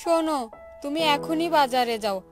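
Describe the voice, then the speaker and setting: Quarrelsome young daughter-in-law, played by a woman, high and insistent, eager to win, cartoon style with background music. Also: normal speed, natural voice. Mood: bossy. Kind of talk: Bengali cartoon voice acting.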